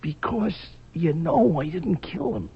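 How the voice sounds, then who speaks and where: A man's voice: fairly high pitch, animated, takes it slowly, gravelly, breathy edge, heavily acted. A man playing a desperate small-time safecracker in a radio drama, stammering and pleading in streetwise slang. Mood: wheedling. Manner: stammering, repetitive, wheedling pleas. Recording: dull lo-fi radio drama recording.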